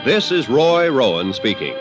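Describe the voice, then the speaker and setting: A man announcing scripted credits in formal, measured 1950s radio style, on a vintage broadcast recording. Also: mid-range voice, sing-song. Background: music.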